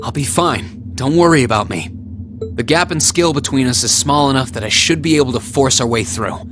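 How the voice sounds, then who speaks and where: An actor playing an earnest, protective young swordsman in a video game, polite and steady in manner. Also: mid-range voice, a little fast, over music, lightly acted. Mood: reassuring.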